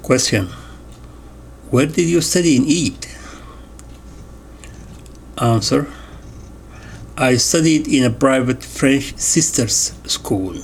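Slow, gravelly male interview reader. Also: medium pitch, a little hiss or hum. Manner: measured, announces each question and answer. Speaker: voice-over reader of an interview transcript. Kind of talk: reading a translated interview aloud.

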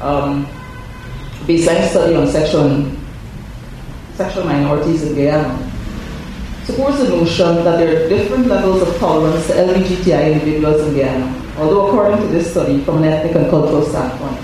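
A hoarse, scratchy-voiced man giving a formal prepared speech at an event, measured and careful, with small self-corrections. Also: on the high side, warm tone. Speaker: invited speaker at a report launch. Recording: very echoey hall, mic far off, faint noise.

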